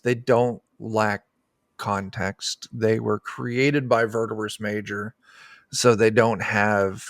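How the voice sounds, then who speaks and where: A man speaking as a game designer in a casual interview over a video call, pausing to search for words. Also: on the low side, no room sound, studio quality.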